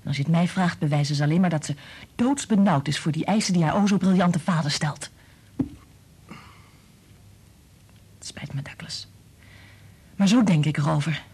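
An actress playing a kind, patient woman scientist and protective mother in a Dutch radio play, explaining things in a measured way. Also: deep voice, a little mellow, husky, heavily acted. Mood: firm, protective.

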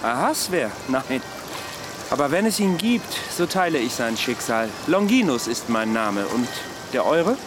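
An actor playing a world-weary immortal former soldier in a radio drama, speaking with dry courtesy and archaic wording. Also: male voice, mid-range voice, very animated, a little slow. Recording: music and watery ambience behind voice.

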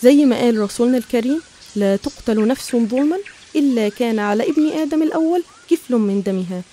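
A woman's voice: a little high, lively intonation, fast, dark tone. A woman with an Egyptian accent narrating a scripted religious story.

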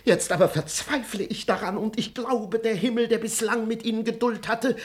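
An actor playing an anxious, moralizing manservant in a classical radio play, pleading and plaintive. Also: male voice, high-pitched, fairly even intonation, moderate pace, close to an everyday voice. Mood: alarmed, pleading.

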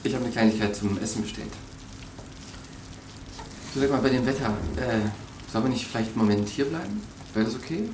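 A man's voice: medium pitch, brisk, pauses often, darkish tone, echoey room, recorded from a distance, slight background noise, natural voice, rough recording. An actor playing an evasive male tenant, hesitant and stammering, in a naturalistic audio drama. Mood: nervous, flirty. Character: evasive male urban tenant on an awkward first date.

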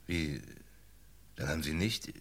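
An actor playing a weary, tight-lipped accident patient in a 1960 German radio drama, speaking in a halting, evasive way. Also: male voice, extremely low pitch, lively intonation, a bit bright, exaggerated voice. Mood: faltering.

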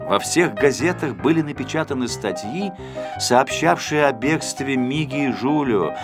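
A man reading a children's story as a scripted audiobook narrator, in an expressive, measured storytelling manner. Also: mid-range voice.

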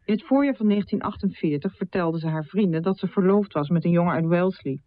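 An actress playing a biographical narrator in a radio drama, telling a life story in a measured, scripted way. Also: female voice, fairly low pitch, dry recording, narrow, tinny audio, lightly acted. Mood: composed. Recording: thin, band-limited dry radio recording.

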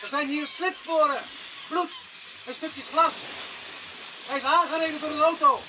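An actor playing a brusque, skeptical police sergeant in a Dutch radio drama, challenging and sarcastic. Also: male voice, very high-pitched, fairly even intonation, normal speed. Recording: noisy old radio play recording.

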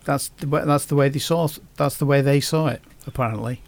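Male podcast guest, gravelly voice, hesitant delivery with frequent restarts. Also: mid-range voice, darkish tone. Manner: halting, many restarts and fillers. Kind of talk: casual podcast conversation.

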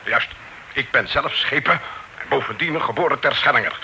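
Plain-spoken alderman, admonishing, very bright forceful voice, radio play, faintly noisy recording. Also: medium pitch, animated, normal speed. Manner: firm, admonishing. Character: plain-spoken male island alderman, native and cautioning.

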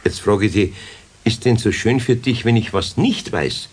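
An actor playing a gruff but kindly older master craftsman in a children's radio play, husky, with a Bavarian accent and a folksy, gently scolding way of talking. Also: fairly low pitch, moderate pace. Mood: gently lecturing.

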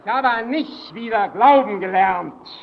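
An actor playing a brooding, self-righteous lighthouse worker in a German radio play, deliberate and solemn. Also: male voice, sits high, gravelly. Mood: solemn, cold. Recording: dull old radio recording, faint noise.

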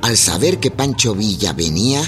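A man with a Mexican accent giving measured, dramatic narration for a historical radio drama. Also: lowish voice, expressive, soft background music, lightly acted.

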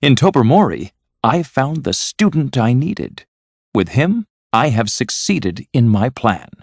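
A man's voice: medium pitch, very animated, measured pace, creaky, dry recording, pitched above the performer's own voice, exaggerated voice. A man voicing a patient, pompous male inventor lecturing proudly in a storybook reading. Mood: proud, earnest. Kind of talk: storybook character voice.

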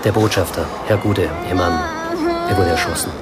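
An actor playing a considerate police officer in a radio drama, speaking in a sober, restrained official way. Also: male voice, deep voice, fairly even intonation, moderate pace, natural voice. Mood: sober, gentle. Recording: loud music and crowd behind voice. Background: music and crowd.

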